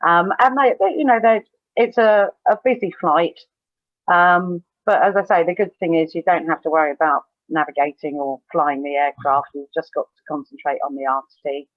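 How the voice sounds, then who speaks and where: A woman speaking as an examiner on a call with thin sound, casually explaining with hesitant starts and measured advice. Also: low-pitched, fairly even intonation, dry recording. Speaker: oral examiner and air traffic controller. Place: online video call. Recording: thin phone-like call audio.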